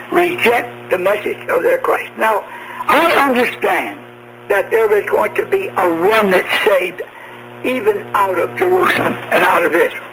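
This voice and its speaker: A man preaching an apocalyptic prophecy sermon in a deliberate, emphatic way, repeating phrases, on a shortwave radio broadcast. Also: high voice, background noise.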